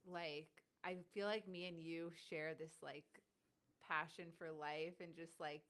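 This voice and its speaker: Young female podcast host, lowish smooth voice, chatty, light stutter. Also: steady tone, unhurried. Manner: warm, chatty, stutters at times, many "like" fillers. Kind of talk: casual interview.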